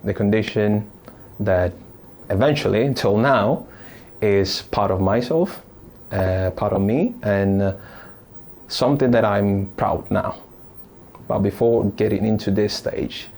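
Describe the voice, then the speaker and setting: Deep, mellow-voiced man from Italy. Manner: thoughtful, halting, many restarts and fillers. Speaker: podcast guest. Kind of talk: casual interview storytelling.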